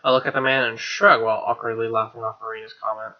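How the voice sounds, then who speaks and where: A man's voice: fairly low pitch, little pitch movement, fast talker. A man reading a visual novel aloud, mixing story narration with casual, sweary, incredulous asides. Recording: dull lo-fi mic under guitar music.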